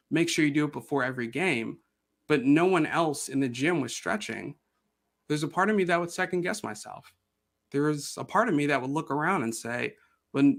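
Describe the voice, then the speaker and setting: A man wraps up his conference presentation in a reflective, sincere tone. His mid-pitched voice carries a gravelly edge, and he makes his point through a sports comparison. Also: a bit bright. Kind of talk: closing a presentation.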